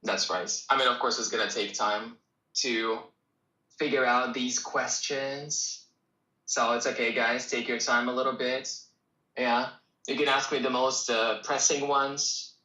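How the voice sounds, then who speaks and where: A man whose first language is Russian, an English teacher answering students' questions in a relaxed, encouraging live-stream Q&A. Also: mid-range voice, unhurried, echoey room, highs cut off. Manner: relaxed, encouraging, talks straight to viewers.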